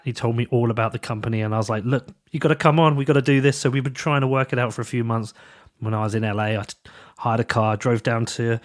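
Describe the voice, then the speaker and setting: A man hosting a podcast, talking through his intro and sponsor reads in a chatty, upbeat way while stuffy from a cold. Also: medium pitch. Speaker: podcast host.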